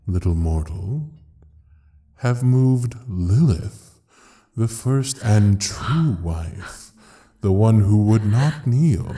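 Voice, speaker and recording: An actor playing an ancient devil who rules hell, taunting and theatrical in an audio roleplay with a drawn-out delivery. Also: male voice, on the low side, dark tone, heavily acted. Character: ancient, mocking male devil ruling hell. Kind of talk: dramatic audio roleplay acting.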